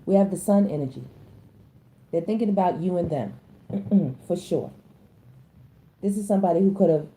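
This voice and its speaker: A hoarse woman giving a casual tarot reading, chatting to her viewers and often stopping to clear her throat. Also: lowish voice, expressive, normal speed, pauses often, dark tone, poor audio. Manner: chatty, addresses listeners as y'all, stops to clear her throat. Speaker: tarot reader.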